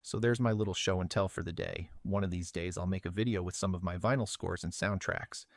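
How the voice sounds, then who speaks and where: Deep-voiced middle-aged man, close dry sound. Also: fairly even intonation, a little fast, dry recording. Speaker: video host. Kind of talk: casual show-and-tell vlog narration.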